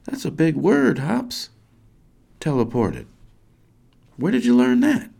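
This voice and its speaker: A man's voice: high-pitched, huge pitch swings, takes it slowly, gravelly, warm tone, pitched above the performer's own voice, exaggerated voice. A man voicing an emotional mother in a told story, calling to her children in a raised, anxious voice. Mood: surprised.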